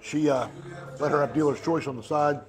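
A man's voice: on the high side, fairly even intonation, fairly quick. A man in his thirties giving a casual, upbeat food review while eating in a barbecue restaurant. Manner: upbeat, plainspoken, enthusiastic about food. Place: busy barbecue restaurant, indoors. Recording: background music audible.

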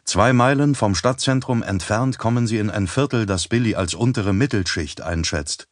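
A man reading an audiobook as narrator in a measured, even storytelling manner on a close studio mic. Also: lowish voice, no room sound. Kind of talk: scripted narration.

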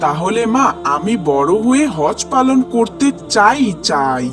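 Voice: medium pitch, very animated, slow, music in the background. A performer voicing a curious, devout young son in a children's cartoon, earnest and full of wonder. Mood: curious, hopeful. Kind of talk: children's moral cartoon dialogue.

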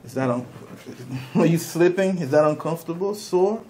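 A man's voice: on the high side, moderate pace, darkish tone. A middle-aged man working as a chiropractor, explaining the exam to a patient and often checking in with short questions. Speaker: chiropractor, video host. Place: small indoor clinic treatment room. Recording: clear background room noise.

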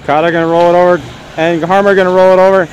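A man's voice: high voice, level tone, muffled recording, a little hiss or hum. A man giving casual play-by-play commentary on positions and crashes at an outdoor race. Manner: casual, quick running positions.

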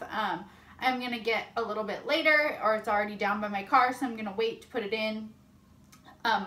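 A young woman with a high, bright voice talks casually to the camera like a fitness vlogger. She is upbeat and informal. The camera mic catches the echo of a room at home. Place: indoors at home, kitchen and bedroom. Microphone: camera or phone a short distance away. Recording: roomy echo of home interior.

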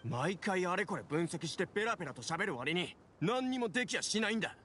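Hot-headed young anime worker, high animated voice, gruff n defensive, some noise behind. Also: male voice, a little fast. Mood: indignant, defensive. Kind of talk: anime game voice acting.

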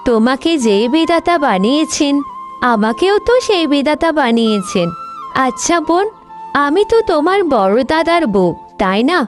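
A woman voicing a gentle, patient young daughter-in-law who speaks softly and politely in an animated moral story. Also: high-pitched, moderate pace, soft background music. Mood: pleading. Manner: soft, pleading, polite.

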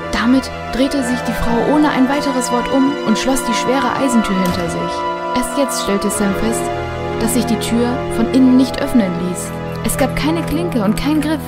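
A woman narrates a children's radio drama from a script. Her voice sits fairly high. Music is clearly audible behind her. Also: moderate pace.

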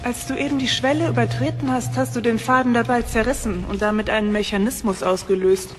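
A confident, sharp-eyed adventuress from an audio drama, played by a woman. Her highish voice is brisk and self-assured, ringing with long reverb over music. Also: fairly even intonation, lightly acted. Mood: alert, explaining.

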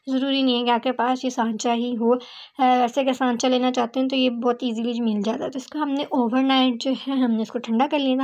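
A woman with a high voice talks through a recipe as a cooking host. Her delivery is casual and instructional, with English words mixed in. The sound is somewhat dull and lo-fi. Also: a little mellow. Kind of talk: recipe voice-over tutorial, casual.

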